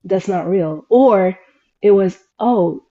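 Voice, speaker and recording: Guest, young woman, mellow tone, thoughtful with many restarts, from Sudan and the US, lo-fi call sound. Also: mid-range voice, takes it slowly. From Sudan and United States. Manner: reflective, searching for words with many restarts. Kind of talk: casual interview talk.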